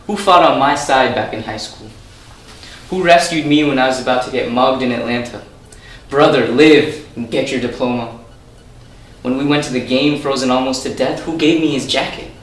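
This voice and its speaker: A man's voice: medium pitch, normal speed, lightly acted. Man doing an angry ranting mob boss, echoey room, distant mic. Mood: furious. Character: remorseful mob boss ranting at his family.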